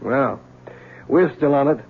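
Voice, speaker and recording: Husky, cynical police lieutenant. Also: male voice, medium pitch, a little slow. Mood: wry. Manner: teasing, slangy. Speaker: actor. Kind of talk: old-time radio crime drama acting. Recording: tinny, narrow old radio recording.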